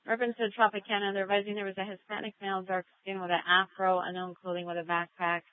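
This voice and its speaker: A woman's voice: medium pitch, little pitch movement, fast talker, clean tone, no echo. A woman working as a police dispatcher, relaying procedural information over radio and repeating key details. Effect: police radio transmission. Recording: tinny narrow-band police scanner audio.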